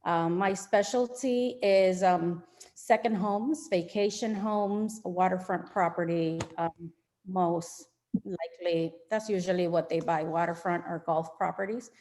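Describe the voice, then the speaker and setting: A woman's voice: lowish voice, a little slow, a little mellow. A middle-aged woman realtor introducing herself and her work to a group in an online meeting. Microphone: video call.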